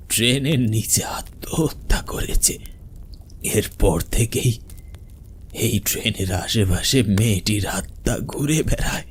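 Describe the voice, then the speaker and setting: A man voicing an elderly village grandfather in a horror cartoon, grave and protective, with husky, drawn-out dramatic warnings. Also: medium pitch, a little mellow.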